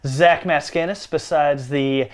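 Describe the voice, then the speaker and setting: A man's voice: fairly high pitch, steady tone, takes it slowly. A young man co-hosting a casual gaming podcast, joking and upbeat. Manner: joking, upbeat, fillers and restarts. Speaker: podcast co-host.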